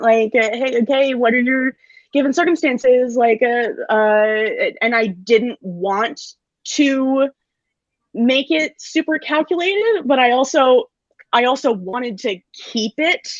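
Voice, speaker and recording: A woman's voice: sits high, slow pace, no echo. A woman in her twenties, a student actor, chatting casually and hesitantly as she thinks aloud. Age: 20s. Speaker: actor, graduating theater student. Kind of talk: casual chat. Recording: dry, slightly dull online audio.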